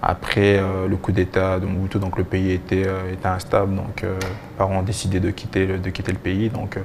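A young man giving halting answers with repeated words as a guest in a TV interview. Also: low voice, completely flat delivery, a little mellow, a little hiss or hum. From DR Congo and Belgium. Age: young adult. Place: TV studio. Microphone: lapel mic.

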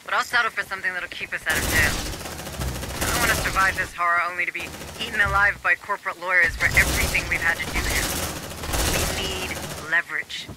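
An actor playing a pragmatic administrator turned activist who guides marines in a video game, earnest and commanding. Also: male voice, high-pitched, steady tone, quick pace, heavy background noise, close to an everyday voice. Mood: determined. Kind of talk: video game cutscene and mission dialogue acting. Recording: game music behind the voice.

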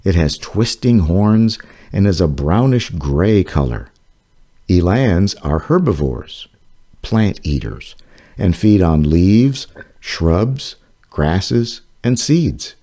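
A man reading his own children's nonfiction book aloud, slowly and carefully. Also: low voice, big pitch swings, warm tone. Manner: slow, careful, clearly paced reading. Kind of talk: read-aloud narration for children.

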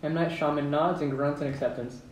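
A man's voice: on the high side, steady tone, fast. A man reading out stage directions as narrator at a script table read. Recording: echoey room, distant mic, background noise.

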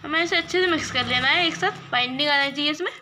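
A woman hosting a cooking channel, explaining a recipe step by step in a steady voice-over. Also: high voice, fast, background noise.

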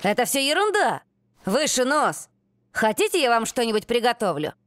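A woman's voice: fairly high pitch, sing-song, normal speed, fairly bright tone, no echo. A woman playing an upbeat, caring young boy in a cartoon dub. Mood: encouraging. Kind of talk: animated series dub acting.

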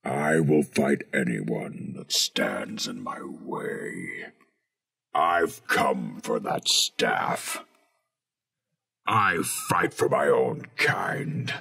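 A man voicing a giant heroic robot leader, stern and commanding, with a deep gravelly voice processed lower and bassier. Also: very animated, sharp tone, faint music behind, exaggerated voice. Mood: stern, threatening. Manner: slow, menacing, commanding delivery. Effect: pitched-down, bass-boosted processing.

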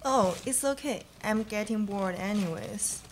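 A woman's voice: mid-range voice, measured pace, slight background noise, natural voice, poor audio. A young woman playing an easygoing camper in her twenties in a student radio play, reading hesitantly at a stand microphone. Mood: shy, friendly. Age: young adult. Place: small studio, indoors.

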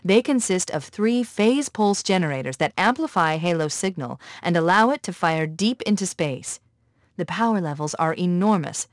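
A woman voicing a quick-witted, analytical military artificial intelligence in a video game, with a crisp, authoritative manner. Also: lowish voice, dry recording, clean studio sound, close to an everyday voice. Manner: crisp, precise, authoritative briefing.

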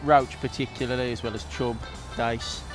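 A man, giving a relaxed, detailed walk-through of his fishing rig outdoors by a river. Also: mid-range voice, moderate pace, over music. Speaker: match angler guest. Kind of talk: casual explanation of fishing tackle. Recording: outdoor recording, faint noise.

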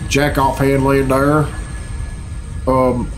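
A man's voice: mid-range voice, completely flat delivery, very slow. A man in his thirties giving casual reaction commentary in a blunt, sarcastic, profane way. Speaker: reaction video host. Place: small bedroom at home, indoors. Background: game cutscene music.

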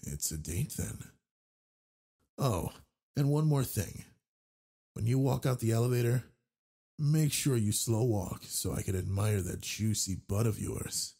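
A man playing a flirtatious, confident werewolf alpha with a husky, gravelly voice in an intimate roleplay audio drama, teasing and tender toward the listener. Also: fairly low pitch, expressive, a little slow, pauses often, dark tone, heavily acted. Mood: playful, flirtatious.

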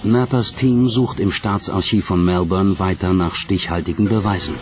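A man reading scripted documentary narration in a measured, dramatic manner. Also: sits low. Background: music.

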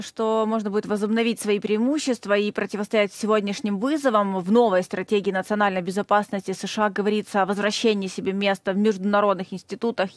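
A woman news anchor in a television studio, talking at a quick clip. Her delivery is brisk and direct as she sets up clips and poses questions. The sound is close and dry. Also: medium pitch, dry recording. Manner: brisk, reads and asks questions.

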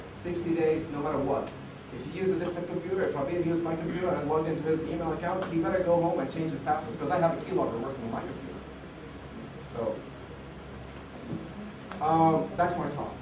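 A man giving a casual conference talk full of fillers and restarts, while losing his voice. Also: a little high. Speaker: conference speaker. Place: conference hall. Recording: echoey hall, far mic, noisy.

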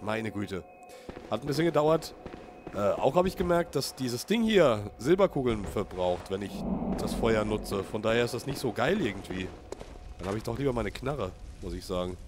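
A man's voice: lowish voice, lively intonation, normal speed, noisy background. A man with a slight cold and a sniffle, chatting casually to viewers while he plays and reacts aloud to the game. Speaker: let's play gamer. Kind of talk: casual gameplay commentary.

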